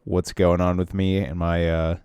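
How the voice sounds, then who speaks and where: A man's voice: deep voice, flat delivery, a little slow, a little mellow, clean tone, no room sound. A man with a stuffy, congested voice, co-hosting a casual podcast and telling a long, rambling story full of restarts. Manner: rambling storyteller who often restarts and trails off. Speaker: podcast co-host.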